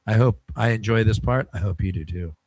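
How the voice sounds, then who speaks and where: A man's voice: lowish voice, brisk, a little mellow, a little hiss or hum. A man hosting a sports podcast, chatting loosely and signing off for the holidays. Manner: loose, rambling and friendly. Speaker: podcast host. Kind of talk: casual sports show chat.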